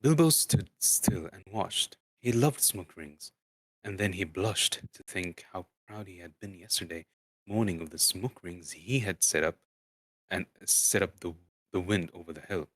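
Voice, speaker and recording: A man narrating a book dramatically as an amateur, halting and stumbling over words now and then. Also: sits low, fairly bright tone, husky, dry recording. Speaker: streamer reading aloud.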